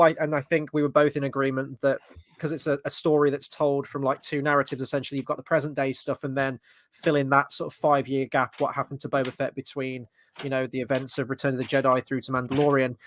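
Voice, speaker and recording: A man's voice: on the high side, no room sound. Thoughtful young man, dry close sound. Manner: measured, hesitant, thoughtful. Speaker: co-host. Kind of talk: casual chat.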